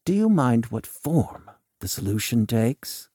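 Eccentric shadowy doctor, played by a male reader: formal, coolly mocking, theatrical, highly animated intonation, dry close sound. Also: takes it slowly, breathy edge, dry recording, heavily acted. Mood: wry, cautioning. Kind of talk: audiobook character dialogue.

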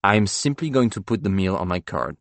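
A man's voice: low voice, sing-song, a little fast, gravelly, no room sound, full character voice. A man acting an exasperated, proper banker. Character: exasperated, proper male banker refusing to join a scam.

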